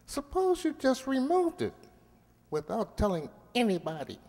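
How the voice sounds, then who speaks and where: A man's voice: very high-pitched, huge pitch swings, normal speed, a little mellow, long reverb tail, heavily acted. An actor playing a persuasive, pleading little family man in a radio drama. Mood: coaxing, insistent. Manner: coaxing, insistent.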